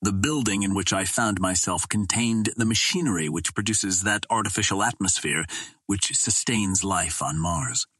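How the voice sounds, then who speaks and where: A man reading a classic adventure novel aloud as scripted narration, in a measured, formal storytelling manner. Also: deep voice, a bit bright, husky, dry recording. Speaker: audiobook reader.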